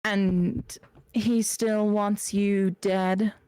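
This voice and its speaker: A woman's voice: mid-range voice, flat delivery, very slow, warm tone, clear, resonant tone, no echo. A woman voicing a proud male host of a grand party, speaking in a haughty, curt and guarded way.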